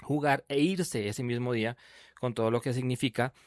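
A man's voice: mid-range voice, fairly even intonation, moderate pace, no echo, clean studio sound. A man hosting an interview, chatty and full of fillers and restarts. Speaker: radio host.

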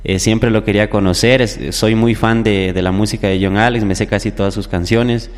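A man's voice: fairly low pitch, fairly even intonation, brisk. A young man from Ecuador, a singer telling stories conversationally as an interview guest. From southern Ecuador. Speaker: musician guest, popular music singer. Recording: echoey hall-like reverb.

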